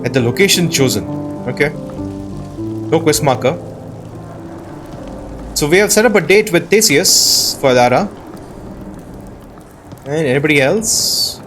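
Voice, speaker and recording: A man hosting a gaming video in casual commentary, sometimes reading dialogue choices out loud. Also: fairly high pitch, expressive, a little slow, long pauses, faint music behind.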